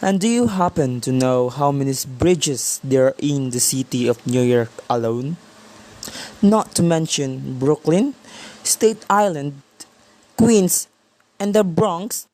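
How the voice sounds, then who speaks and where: Woman playing a low, slow, weary police officer. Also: animated, dark tone. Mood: skeptical, patronizing. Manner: patronizing, unhurried, stolid. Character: weary, dismissive police officer taking a call. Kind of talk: radio play acting.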